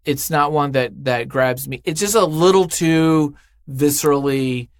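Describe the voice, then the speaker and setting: A man's voice: on the high side, a little slow, clean tone, no echo, studio quality. A man speaking as an interview guest in casual, unscripted chat, effusive and emphatic, often thinking aloud.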